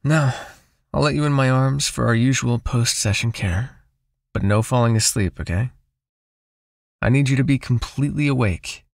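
A man playing a seductive, possessive vampire hypnotist in an intimate ASMR roleplay, soothing and teasing as he gently commands. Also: mid-range voice, moderate pace, vocal fry, no room sound, studio quality, natural voice. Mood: tender, teasing.

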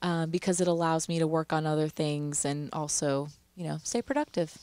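A young woman attorney chatting casually as a studio guest on a headset mic, friendly and quick to laugh. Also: sits low, a little mellow, no room sound. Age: young adult. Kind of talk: casual conversation. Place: dark studio.

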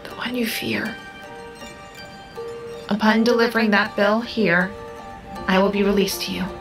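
A calm, selfless female AI, voiced by a woman in an audio drama, precise and measured. Her pitch sits in the middle, over clear background music. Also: close to an everyday voice. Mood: grave, formal.

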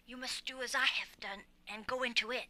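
A woman's voice: a little high, fairly even intonation, a little fast, pushed and bright. A woman playing a possessed small boy in an audio drama, coldly polite and persuasive. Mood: cold, controlled. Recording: reverberant, faint music behind.

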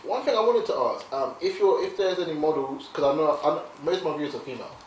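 A young man hosting a casual interview, relaxed, with lots of quick agreement. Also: fairly high pitch, distant mic. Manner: relaxed, frequent back-channel agreement. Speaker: host. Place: indoor room, seated on a sofa. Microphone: far camera mic. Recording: echoey room, faint hiss.

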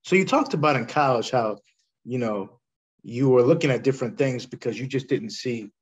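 A middle-aged American man with a husky voice hosts a sports interview. He speaks at an ordinary male pitch and keeps a polite, respectful manner with his guest. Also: lively intonation, normal speed, dull audio. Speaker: interviewer, host. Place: indoors on a video call.

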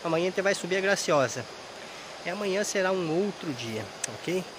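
A man, hoarse from a cold, chatting casually to viewers in a travel vlog recorded outdoors at a riverside campsite on a camera held at arm's length. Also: sits high, lively intonation, fairly quick, noisy background. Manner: chatty, addresses viewers directly. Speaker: vlogger. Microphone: action camera held at arm's length.

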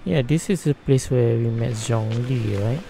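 A man giving casual, low-key gameplay commentary in sparse, muttered asides. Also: takes it slowly, vocal fry. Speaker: gamer commenting on a playthrough. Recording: game music behind the voice.

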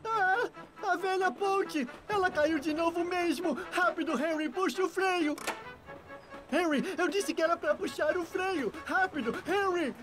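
A friendly Brazilian cartoon steam train speaks in a high voice. It is jolly, excitable and animated, explaining things eagerly with light music behind. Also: a little slow. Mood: panicked. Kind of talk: children's cartoon dubbing.

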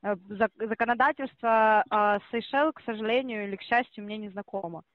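A woman's voice: on the high side, brisk, dry recording. A woman working as a call-center operator on a phone call, explaining at length and insisting on her point. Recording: muffled phone line.

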